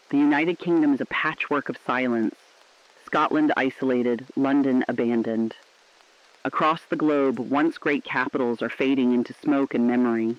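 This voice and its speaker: A man playing a somber, resolute radio correspondent in a scripted doomsday broadcast, speaking deliberately and poetically. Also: mid-range voice, no echo, lightly acted. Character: somber, resolute radio co-anchor reporting civilization's collapse. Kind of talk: scripted dramatic apocalyptic news broadcast. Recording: slightly dull, dry studio sound.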